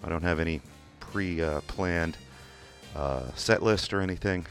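A man with a Kentucky accent hosting a community radio music show from a studio, talking in a relaxed, rambling way with many "uh" fillers and restarts. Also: low-pitched, a little slow. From England. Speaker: radio DJ host. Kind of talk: casual radio DJ talk. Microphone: studio microphone.

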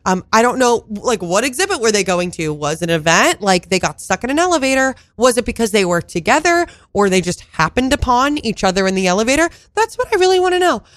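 A woman's voice: on the high side, big pitch swings, quick pace, hardly any pauses, no room sound. A woman co-hosting a casual podcast chat, congested with her voice not fully back, chatty and rambling with frequent laughs. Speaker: podcast co-host.

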